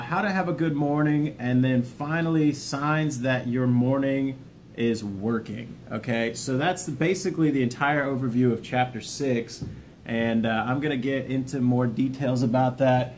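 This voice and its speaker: A man's voice: medium pitch, normal speed, a little hiss or hum. A plainspoken man hosting an informal self-help video, talking straight to viewers in a folksy way with the odd jokey aside. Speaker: video host, army veteran sharing self-help lessons. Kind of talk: informal video talk, partly reading a book overview.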